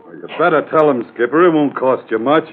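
An actor playing a casually cynical accomplice in a vintage radio crime drama, with an easygoing, needling politeness. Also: male voice, on the high side, quick pace, natural voice. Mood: sly, needling. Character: smooth-talking, casually cynical crook's accomplice. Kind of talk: 1940s-50s radio crime drama acting. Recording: narrow, slightly noisy old radio recording.

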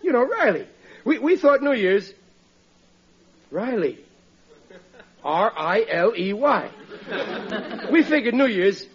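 A man's voice: high voice, very animated, takes it slowly, pauses often, exaggerated voice. An American man in middle age acting a blustering, gullible working-class riveter in a classic radio sitcom, exclaiming in ungrammatical speech. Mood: eager, pestering. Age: middle-aged. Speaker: comic lead actor. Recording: muffled vintage radio recording.

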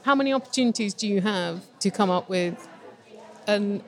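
A woman interviewing guests, asking quick, curious and teasing questions in a conversational way. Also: medium pitch.

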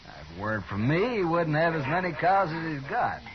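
A man's voice: a little high, big pitch swings, moderate pace, noisy background. An American man acting a smug, troublemaking ranch foreman with a sneering, mock-innocent manner in a 1950s radio drama. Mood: sneering, dismissive. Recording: muffled 1950s radio recording, saloon crowd.

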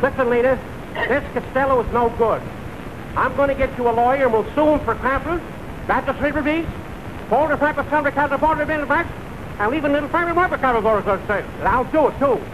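An actor playing an indignant cousin who blusters earnest double-talk nonsense in a 1940s radio comedy. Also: male voice, very high-pitched, quick pace. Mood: indignant, blustering. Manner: earnest, blustering gibberish delivery. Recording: noisy vintage radio recording.

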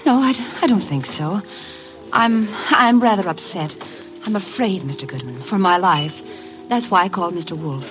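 An actress playing an anxious, genteel society hostess in an old radio drama, speaking with hesitant politeness. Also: female voice, medium pitch, lively intonation, moderate pace, music in the background, close to an everyday voice, poor audio. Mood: anxious, polite. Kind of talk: 1940s-50s radio drama acting. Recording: vintage radio drama recording.

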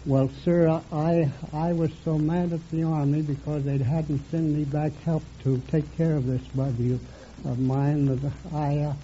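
A man's voice: on the high side, flat delivery, a little slow, mellow. An American man in his nineties answering a quiz show host politely and matter-of-factly as a contestant. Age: very elderly, nineties. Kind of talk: plain storytelling in interview. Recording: muffled vintage radio recording, faint noise.

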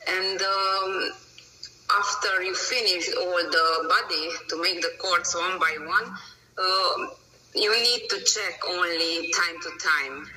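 A woman from Romania, a dog breeder answering interview questions in halting English full of pauses, fillers and grammar. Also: lowish voice, fairly even intonation, pushed and bright, recorded from a distance, soft background music, poor audio.

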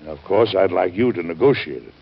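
Stern middle-aged president, husky, formal, measured, weary and principled, dull vintage radio recording. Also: male voice, sits low, animated, exaggerated voice. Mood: businesslike. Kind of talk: historical radio drama acting.

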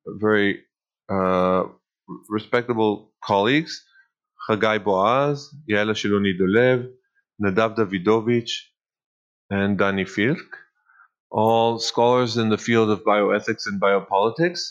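A man with a slight Israeli accent, a law professor answering interview questions thoughtfully, pausing with many fillers. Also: on the low side, muffled recording.

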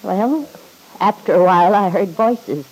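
A woman's voice: mid-range voice, lively intonation, measured pace, a little mellow, low-quality recording. An actress playing a nervous, loyal old household servant in an old-time radio drama, hesitant and deferential as she stammers. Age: older.

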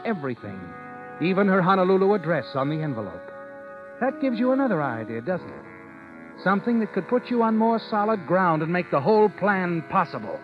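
An actor playing a knowing, taunting narrator in an old radio mystery, speaking dramatically to the schemer. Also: male voice, high voice. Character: insinuating, omniscient male narrator addressing the schemer. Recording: muffled old radio recording. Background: dramatic music.

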